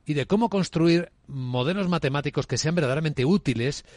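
A man hosting a radio interview, who introduces his guest and asks questions in a measured, clear way. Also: a little high, a little mellow, dry recording. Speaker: radio host.

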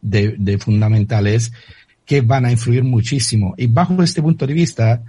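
Hesitant Italian man, husky dark voice. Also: mid-range voice, normal speed, dry recording. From Italy. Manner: hesitant, repeats words while searching for terms. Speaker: guest. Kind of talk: radio interview answer.

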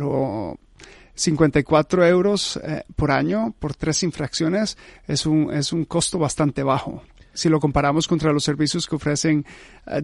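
Man from Costa Rica, radio interview guest, raised pitch, dry close sound, filler-heavy agreeable speech. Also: gravelly, no echo. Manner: agreeable, repeats "correcto", many filler "eh"s. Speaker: startup founder.

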